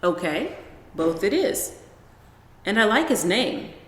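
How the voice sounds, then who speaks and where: Casting: woman to play proud, reassuring father in a children's tale; slowish, roomy echo. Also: mid-range voice, very animated, fairly bright tone. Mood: cheerful. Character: calm, proud, good-humoured father. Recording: roomy echo with long reverb.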